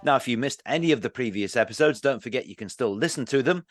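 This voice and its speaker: A man's voice: medium pitch, lively intonation, quick pace, music in the background. A man, speaking as a podcast host introducing and interviewing guests. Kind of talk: scripted introduction and interview questions.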